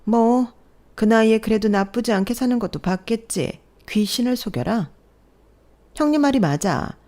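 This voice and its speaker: A woman's voice: a little high, animated, normal speed, dark tone, pitched above the performer's own voice, lightly acted. A woman voicing haughty older sisters-in-law who mock and belittle the young bride. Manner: mocking, condescending, needling.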